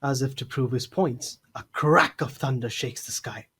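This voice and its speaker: A man's voice: mid-range voice, moderate pace, fairly bright tone, slightly rough. A man reading scripted narration for an audio drama.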